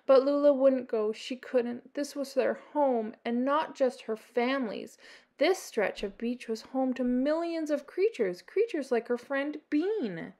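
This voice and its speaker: High-voiced woman reading a story. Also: expressive, unhurried, warm tone. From Canada. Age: middle-aged. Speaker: children's story reader. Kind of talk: expressive read-aloud storytelling.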